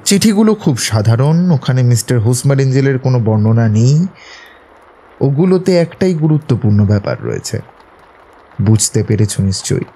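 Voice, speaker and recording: A man's voice: mid-range voice, big pitch swings, normal speed, dark tone, slight background noise. A man playing a self-assured, analytical detective in an audio drama, explaining things in an assured, instructive way. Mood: confident, probing. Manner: assured, didactic, explaining deductions step by step. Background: prominent background music.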